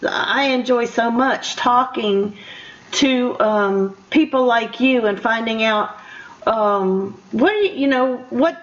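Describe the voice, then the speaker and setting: An older woman interviewing a guest casually as a radio host, in a halting, rambling, encouraging way. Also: a little high. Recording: dull lo-fi radio interview audio.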